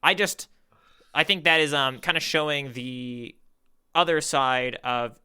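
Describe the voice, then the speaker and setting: A man's voice: medium pitch, a little slow, bright, forceful tone, clear, resonant tone. A man co-hosting a podcast, chatting casually about manga in an earnest, playful way. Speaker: podcast co-host.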